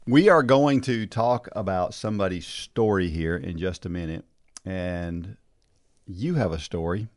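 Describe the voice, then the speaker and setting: A man's voice: deep voice, unhurried, no echo, clean studio sound. A man hosting a casual talk show, chatty and encouraging, often restarting his sentences and tagging them with "right?". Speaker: podcast host. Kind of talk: casual chat.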